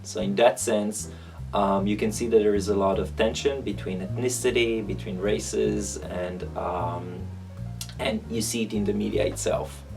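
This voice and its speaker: A man in his thirties from Israel speaks with an Israeli accent and a gravelly edge, working through his thoughts haltingly as an interviewee. He is picked up from a distance, with guitar music playing behind him. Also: on the low side, steady tone. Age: 30s. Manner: halting, thinking aloud. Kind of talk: reflective interview answers.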